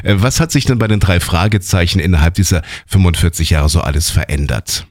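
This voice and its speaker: A man's voice: sits low, animated, fairly quick, darkish tone. A male radio host. He presents and puts questions to a guest in a conversational broadcast style.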